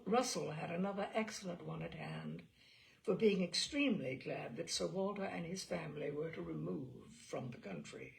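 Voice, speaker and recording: A woman reading a classic novel aloud as a storyteller, expressive and measured, with emphatic phrases and hushed endings. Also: on the low side, vocal fry. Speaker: audiobook reader and storyteller.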